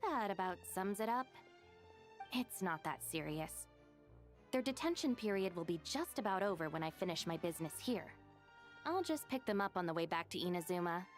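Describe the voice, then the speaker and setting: A woman's voice: on the low side, lively intonation, normal speed, natural voice. A woman playing a level-headed, responsible young gang deputy in a video game, dry and matter-of-fact. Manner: matter-of-fact, exasperated, composed. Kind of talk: video game character acting. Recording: game music behind.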